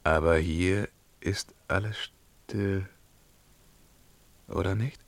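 An actor playing a tormented, proud emperor in solemn, declamatory 1950s German radio verse drama. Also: male voice, low-pitched, steady tone, takes it slowly, no echo. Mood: brooding, haunted. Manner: solemn, declamatory, swinging from whisper to cry.

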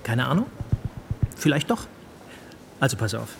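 A man's voice: medium pitch, very animated, measured pace, vocal fry, noisy background. A man playing a touchy, matter-of-fact trauma surgeon in a radio drama, speaking in clipped clinical phrases. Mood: defensive.

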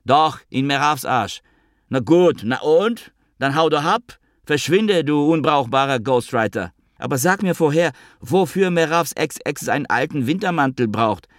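A man's voice: lively intonation, measured pace, no room sound. A male narrator doing a pampered, blustering fat man whose voice climbs into a whiny squeal. He pleads, taunts and wheedles in turn. The read is close and dry, with no room around it. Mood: taunting. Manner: whining, wheedling, high and squealing.

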